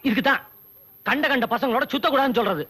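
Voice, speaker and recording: A man's voice: extremely high pitch, fast talker, sharp tone, breathy edge, full character voice. An actor playing a sarcastic man doctor who teases and scolds his patients. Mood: teasing. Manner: sarcastic, teasing.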